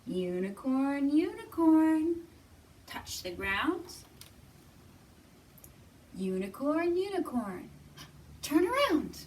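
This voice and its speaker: A woman hosting a children's storytime, reading aloud and leading action rhymes with expressive, simple phrasing for little listeners. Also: high-pitched, very slow, pauses often, a little hiss or hum. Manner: expressive, simple instructions addressed to small children. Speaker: children's librarian and storytime host. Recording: distant microphone.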